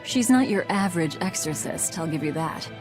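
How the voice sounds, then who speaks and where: A tough, guarded young game heroine, acted by a woman, speaking in a lowish voice. She is dry and curt, and music plays behind her. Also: lively intonation, moderate pace, natural voice. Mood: dry, resolute. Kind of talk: English-dubbed video game acting.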